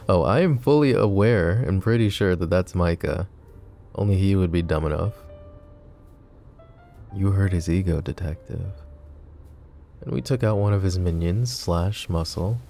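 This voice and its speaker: A man voicing a flirty, possessive and protective mafia boss in an ASMR roleplay, teasing and soft-spoken. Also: low-pitched, unhurried, gravelly, long pauses, soft background music. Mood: calm scheming.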